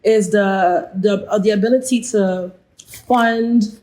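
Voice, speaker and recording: A woman hosting a casual chat show, hoarse after losing her voice, talking in a lively way and repeating phrases. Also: mid-range voice, slow. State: hoarse, lost voice. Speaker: host.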